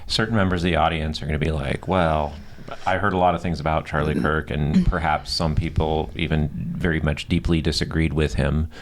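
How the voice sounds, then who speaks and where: A man's voice: very deep voice, fairly even intonation, fairly quick, slight background noise. A man co-hosting a podcast, talking conversationally and steering the discussion with firm opinions. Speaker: podcast co-host.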